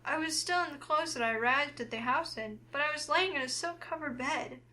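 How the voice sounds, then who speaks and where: A woman's voice: high-pitched, fairly quick. A woman voicing a flustered, wary young heroine who narrates a visual novel game in the first person. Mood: uneasy, reflective. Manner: expressive, flustered.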